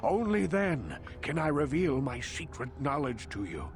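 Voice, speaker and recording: A centenarian village mystic from a video game, his voice on the high side for a man. He is wary and pleading, speaking in grand, formal phrases and portentous questions. Music plays behind him. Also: huge pitch swings, unhurried, full character voice. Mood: solemn, hopeful. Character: hundred-year-old village outcast elder, mystical, wary, pleading prophet. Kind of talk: theatrical video game voice acting.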